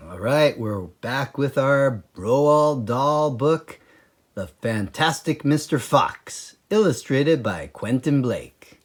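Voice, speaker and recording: Slow, expressive man reading a children's book. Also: mid-range voice. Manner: slow, expressive, sing-song storytelling. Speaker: reader at a school library. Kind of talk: children's chapter book read-aloud.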